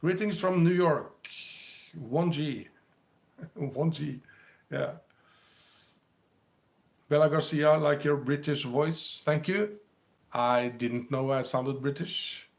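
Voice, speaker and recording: A man with a Norwegian accent hosting a live spiritual stream on his phone, gently reading comments and passages aloud and chuckling often. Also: on the high side, slow pace, vocal fry, pauses often.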